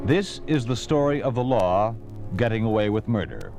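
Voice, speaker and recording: Dramatic male radio announcer over loud music. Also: fairly low pitch, expressive, normal speed, gravelly. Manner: solemn, dramatic. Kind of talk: 1950s radio drama scripted narration. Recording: old radio recording under loud music.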